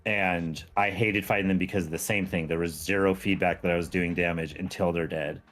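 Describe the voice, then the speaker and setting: A man's voice: low-pitched, fairly even intonation, a little fast, a bit bright. A man talking casually and explaining his views as a guest on a gaming stream. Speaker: game modder and stream guest.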